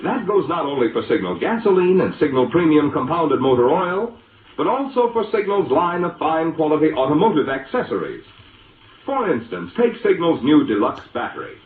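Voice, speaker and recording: A man's voice: on the high side, sing-song, moderate pace. An American man reading scripted 1940s radio advertising as an announcer, with a polished, emphatic salesman delivery. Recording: vintage radio recording, roomy echo, muffled lo-fi.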